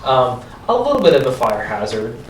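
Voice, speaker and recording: A man improvising narration as a tabletop game master, halting and pausing mid-description. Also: medium pitch, big pitch swings. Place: echoey room, live-streamed game session. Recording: roomy, distant mic, noisy background.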